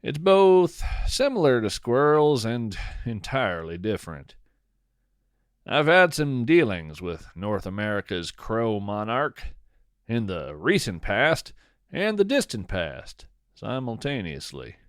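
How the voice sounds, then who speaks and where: A man narrating a scripted, whimsical nature story in a measured, dramatic storytelling manner with deliberate pauses. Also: mid-range voice, big pitch swings, dry recording, studio quality. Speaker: podcast host and narrator.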